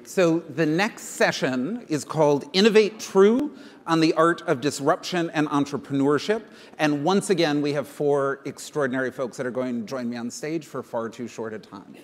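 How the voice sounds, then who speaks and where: A man's voice: a little high, moderate pace. A man emceeing a conference, introducing the next panel and rallying the audience in an upbeat way. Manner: upbeat announcer, rallies the audience. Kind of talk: stage introduction. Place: conference stage. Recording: reverberant hall.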